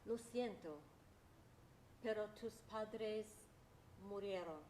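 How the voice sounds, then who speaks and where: A woman plays an apologetic town mayor in a staged drama, formal and very slow, in a mid-range voice. There is noticeable noise behind the words. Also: medium pitch, a little mellow, reverberant, close to an everyday voice, poor audio. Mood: grave, apologetic. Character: apologetic small-town Mexican mayor bearing grave news. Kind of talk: staged reading dialogue in Spanish.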